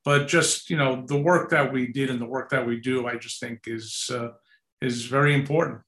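A man in his sixties with a New York accent, a company founder chatting in an interview, relaxed and rambling with many fillers. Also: mid-range voice, moderate pace. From New York City. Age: 60s. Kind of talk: casual interview chat. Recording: roomy echoey sound.

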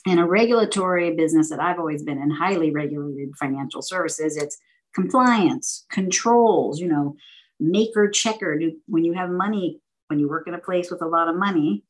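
A woman in her fifties from San Francisco, a banking executive answering interview questions in a measured, orderly way, laying out her points as lists. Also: sits low, low-quality recording. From San Francisco, United States. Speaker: guest, banking executive and board director.

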